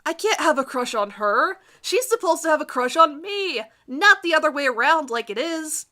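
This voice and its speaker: A woman's voice: high voice, lively intonation, moderate pace, bright, forceful tone, voice pushed up. A woman voicing a vain, lovestruck teenage boy who narrates his crush with overwrought emphasis in a melodramatic fan fiction reading. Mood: frustrated, boastful.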